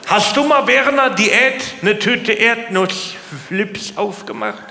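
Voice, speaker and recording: Animated stand-up man in an echoey hall. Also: high voice, takes it slowly, vocal fry. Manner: repeats words, acts out little scenes. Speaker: stand-up comedian. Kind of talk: stand-up comedy storytelling. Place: large live venue. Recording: echoey hall, live stage, noisy.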